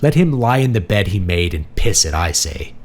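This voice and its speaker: Angry adult son read by a male narrator, resentful and emphatic, lively swings in pitch, family audiobook drama. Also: fairly quick. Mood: indignant. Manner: emphatic, indignant.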